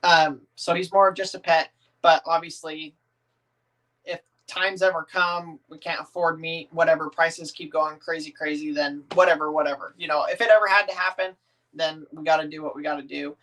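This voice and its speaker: A man's voice: on the high side, fairly even intonation, moderate pace, sharp tone, low-quality recording. A man in his thirties from the northern United States, answering viewer comments in a loose, rambling, easygoing way with plenty of filler words. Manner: rambling, easygoing, many "um"s and restarts; reads viewer comments aloud and answers them. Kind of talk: casual livestream chat.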